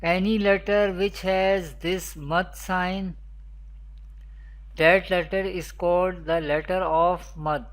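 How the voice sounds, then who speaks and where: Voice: mid-range voice, little pitch movement, slow pace. A Quran teacher giving a scripted online lesson in a didactic, repetitive manner, asking questions and then answering them. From Pakistan.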